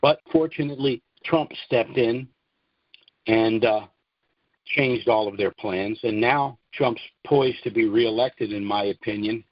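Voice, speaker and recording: An American man speaking deliberately and haltingly in an informal monologue as host of a conference call. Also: fairly low pitch, no echo. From United States. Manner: deliberate, halting, frequent throat-clearing. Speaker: conference call host promoting trust services. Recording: dull, dry conference call audio.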